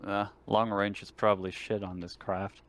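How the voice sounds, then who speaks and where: A man's voice: fairly low pitch, level tone, moderate pace. A man chatting casually as a player in a tabletop role-playing game.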